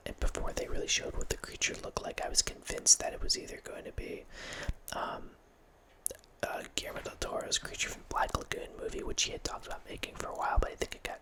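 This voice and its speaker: Man whispering softly, very close mic. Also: low-quality recording. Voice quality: soft unvoiced whisper. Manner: rambling, with restarts and quiet laughs. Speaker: ASMR channel host. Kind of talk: whispered ASMR film ramble. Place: small home room with bookshelf. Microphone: close studio mic with headphones.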